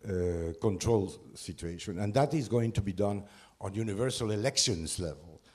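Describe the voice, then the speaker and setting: A man talks from the floor in a panel discussion. His voice sits somewhat low, and he pauses and restarts often as he searches for words. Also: expressive, darkish tone, husky. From Ecuador. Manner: halting, many fillers and restarts. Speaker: conference participant.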